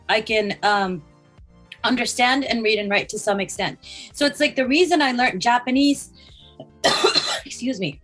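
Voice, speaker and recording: A woman's voice: a little high, fairly bright tone. A woman interviewed as a designer, answering at length with fillers and runs of lists. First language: English first language. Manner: listing, thinking aloud with fillers, occasional coughs.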